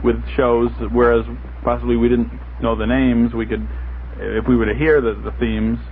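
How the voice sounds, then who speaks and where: A man chatting casually and haltingly as a panelist on a noisy 1960s radio broadcast. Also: mid-range voice, little pitch movement, phone-quality sound.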